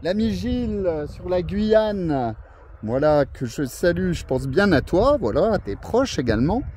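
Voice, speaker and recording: A man's voice: high voice, big pitch swings, measured pace, a little mellow, a little hiss or hum. A man chatting casually as an amateur radio operator, musing and hesitating in a friendly way, recorded outdoors. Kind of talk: casual running commentary. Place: outdoors at a hilltop radio spot.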